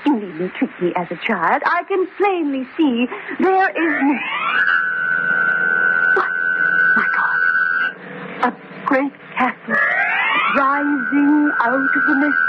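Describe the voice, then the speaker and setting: A woman's voice: high voice, lively intonation, slow, long pauses. An actress playing a frightened, pretentious wife in a campy horror radio drama, theatrical and grandiose in her delivery. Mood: awed, ominous. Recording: muffled, noisy radio recording.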